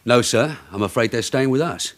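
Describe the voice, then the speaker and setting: An actor playing a blunt, shrewd British police detective sergeant in a radio drama, brisk, commanding and sardonic. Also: male voice, mid-range voice, lightly acted. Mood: sardonic, firm.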